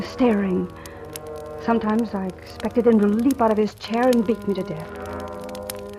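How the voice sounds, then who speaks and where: A woman's voice: lowish voice, lightly acted, poor audio. An actress playing a guarded, probing woman telling her suspense story in old-time radio drama style. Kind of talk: 1940s-50s radio drama acting. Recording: old radio recording, music behind.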